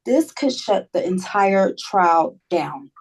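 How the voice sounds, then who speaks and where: Woman acting sassy female colleague, medium pitch, blunt, emphatic, protective, dramatic script reading. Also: lively intonation, slow, breathy edge, full character voice. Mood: grave, urgent. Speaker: actor in a script table read.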